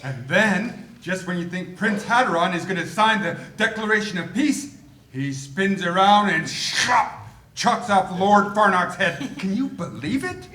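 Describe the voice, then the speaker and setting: An eager fantasy-show superfan. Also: male voice, high voice, lively intonation, pushed and bright, heavily acted. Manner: eager and gushing, rushing his words. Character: excitable fantasy-show fan, grown man. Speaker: voice actor at a table read.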